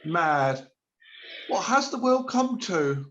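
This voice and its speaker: A man's voice: sits high, big pitch swings, takes it slowly, a bit bright, heavily acted. A man playing an awkward, sheepish shopper in a radio play, hesitant, stammering and evasive. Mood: exasperated. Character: awkward, sheepish panic-buying supermarket shopper. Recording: slightly dull lo-fi sound.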